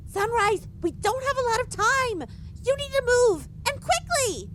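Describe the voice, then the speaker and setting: Urgent, very high-pitched pony spirit. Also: female voice, very animated, measured pace, fairly bright tone, a little hiss or hum, full character voice. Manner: urgent, commanding. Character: older pink pony spirit voice, urgent and bossy. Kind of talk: audio drama acting.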